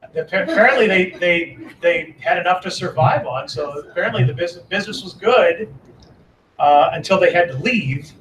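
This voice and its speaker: A man's voice: high voice, animated, normal speed, fairly bright tone, far from the mic, a little hiss or hum. Chatty man in a roomy hall. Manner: chatty, joking. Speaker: moderator, interviewer. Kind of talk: moderating a public talk. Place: lecture hall before an audience.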